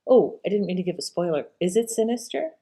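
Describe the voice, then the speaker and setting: A woman's voice: fairly low pitch, lively intonation, quick pace, mellow, slightly rough. A woman hosting, talking casually to her listeners as she introduces a show. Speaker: channel host.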